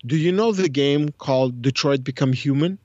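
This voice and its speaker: A man's voice: medium pitch, moderate pace, a little mellow, no echo, lo-fi sound. A man co-hosting a casual podcast, explaining at length and correcting himself as he thinks aloud. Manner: rambling, thinks aloud with many self-corrections. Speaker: podcast co-host. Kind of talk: casual podcast chat about strategy games.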